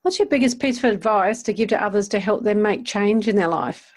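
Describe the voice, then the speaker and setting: Encouraging woman interviewer, mid-pitched. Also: a little fast, a little mellow. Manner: encouraging, affirming. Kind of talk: casual interview hosting.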